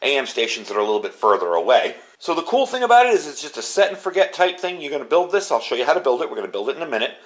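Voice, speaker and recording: A middle-aged man with a medium-pitched voice hosts an informal demonstration at his workbench. He explains things in a chatty, casual way, talking viewers through the gear step by step. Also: very animated, very fast, few pauses. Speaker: video host demonstrating gear. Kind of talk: casual hands-on product walkthrough. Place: indoor workbench.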